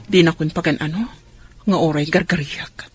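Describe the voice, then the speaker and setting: A woman's voice: deep voice, fairly even intonation, normal speed, soft background music, lightly acted. A woman with an Ilocano accent acting in a family radio drama. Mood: hurt, confiding.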